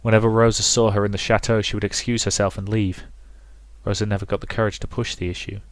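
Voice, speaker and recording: A man with a fairly low voice narrates a visual novel aloud in a storybook style. The recording is dry and close, with little room sound. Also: little pitch movement, quick pace, no echo. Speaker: let's player reading a visual novel aloud.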